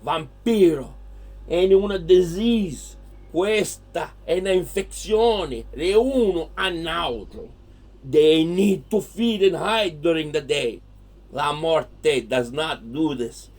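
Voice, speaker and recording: A man plays an exasperated old Italian grandfather in a comedy horror audio drama. He lectures and scolds in heavily accented broken English, slipping into Italian, with a fairly high-pitched voice. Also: animated, slow pace, darkish tone, exaggerated voice. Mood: grave, lecturing. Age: older. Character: hot-tempered old Italian grandfather, exasperated monster-lore teacher.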